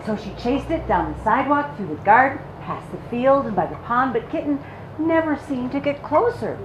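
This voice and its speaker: A woman telling stories to young children in an animated, sympathetic read-aloud style. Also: high-pitched, normal speed, highs cut off. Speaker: children's storyteller. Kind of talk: expressive picture-book read-aloud. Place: public library story time room. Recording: echoey room with clear background noise.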